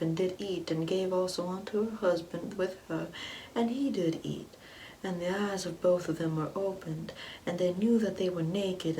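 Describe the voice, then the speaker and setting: A woman playing a sanctimonious, Bible-quoting Karen mother in an ASMR comedy roleplay, condescending and preachy, often dropping into a breathy whisper. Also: fairly low pitch, warm tone, a little hiss or hum, close to an everyday voice, poor audio. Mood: pious, reading scripture.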